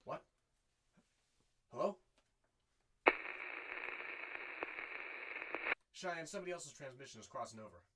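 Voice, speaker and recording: A man's voice: medium pitch, animated, brisk, heavy background noise, natural voice. A man playing a weary, wry desert courier who narrates his own adventure in an audio drama. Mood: dry, puzzled. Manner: wry, self-talking. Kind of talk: first-person narration and amateur audio drama acting.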